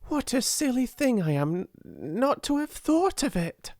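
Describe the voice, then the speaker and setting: A woman voicing a timid, slow, kind-hearted young girl who speaks in a halting, awe-struck way. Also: medium pitch, animated, a little mellow, no echo, clean studio sound, voice pushed up. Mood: excited.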